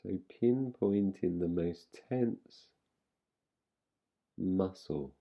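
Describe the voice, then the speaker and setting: A man guiding a relaxation meditation in an unhurried, soothing way, giving gentle instructions with long pauses. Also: deep voice, animated, highs cut off. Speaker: meditation guide. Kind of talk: guided relaxation meditation, yoga nidra.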